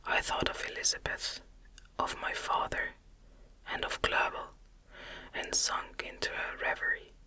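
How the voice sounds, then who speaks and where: A soft, fully whispered ASMR reading of a classic novel, delivered breathily in slow, soothing, deliberate phrases with pauses. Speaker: audiobook reader.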